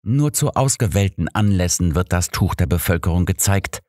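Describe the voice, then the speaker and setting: Man voicing a distraught, dignified cardinal, pitched up. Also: animated, normal speed, darkish tone, dry recording, studio quality. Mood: earnest, insistent. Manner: grave, pleading, formal. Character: distraught, dignified Italian cardinal, earnest and devout.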